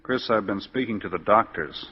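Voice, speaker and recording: An actor playing an earnest, steady, caring man in a 1940s radio drama, speaking persuasively. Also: lowish voice, normal speed, close to an everyday voice. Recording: dull, faintly noisy 1940s radio recording.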